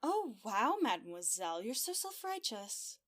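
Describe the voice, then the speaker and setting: A woman's voice: on the high side, big pitch swings, moderate pace, fairly bright tone. An actress playing a wry young lady of a castle in an audio drama, with a dry, teasing manner.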